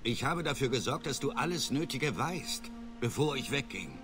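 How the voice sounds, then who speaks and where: An actor playing a disgraced middle-aged starship captain and father, evasive and self-justifying, speaking in a formal, measured way in a video game. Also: mid-range voice, big pitch swings, natural voice. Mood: defensive. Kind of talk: video game voice acting, German dub. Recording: loud music under the voice.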